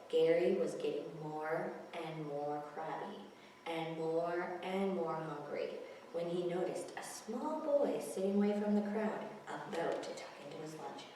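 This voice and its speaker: A woman's voice: low-pitched, mellow. A woman hosting a children's story time, reading picture books aloud with expression and chatting simply about them. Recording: very echoey, distant mic, faint noise.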